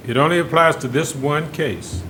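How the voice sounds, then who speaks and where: A man presiding as judge at a bond hearing, speaking firmly and repeating his questions until he gets a clear answer. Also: on the high side, expressive, moderate pace, sharp tone, a little hiss or hum, poor audio.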